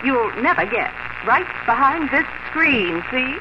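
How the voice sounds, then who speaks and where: A woman's voice: high voice, sing-song, measured pace. A young woman playing an eager, persuasive grown daughter in a 1950s radio drama, gushing and emphatic. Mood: excited coaxing. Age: young adult. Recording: very noisy, dull 1950s radio recording.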